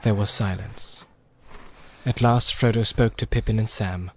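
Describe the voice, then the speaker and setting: A man's voice: on the low side, steady tone, a little slow. A man narrating a fantasy novel as a scripted audiobook. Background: music soundscape.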